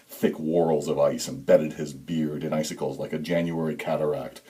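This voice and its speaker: A man giving a dramatic, deliberate reading of a scripted story as narrator, with suspenseful, expressive emphasis. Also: sits low. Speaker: author reading own story.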